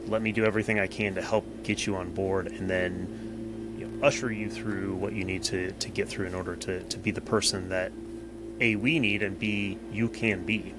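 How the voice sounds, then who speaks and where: A man's voice: sits low, little pitch movement, normal speed, loud music behind the voice. A man co-hosting a podcast, talking casually and rambling, with frequent restarts and "you know.". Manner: rambling, repeats words, says "you know" a lot. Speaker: podcast co-host. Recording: loud background noise.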